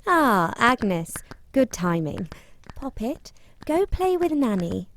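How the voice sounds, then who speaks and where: A woman's voice: a little high, sing-song, slow pace, background noise, heavily acted. A woman playing a haughty mine boss. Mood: condescending. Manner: condescending and cold.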